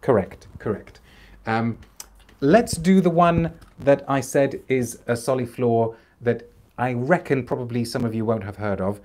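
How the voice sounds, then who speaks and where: A man, hosting an unscripted perfume review live stream from a small room at home, expressive and enthusiastic and reading out viewer comments. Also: mid-range voice, measured pace. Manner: enthusiastic, expressive, gestures a lot, frequent restarts. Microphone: camera at desk distance, seated.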